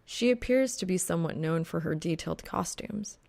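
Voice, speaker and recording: A woman's voice: lowish voice, a little fast, vocal fry, a little mellow. A woman reading a piece of fan fiction aloud as scripted audiobook narration.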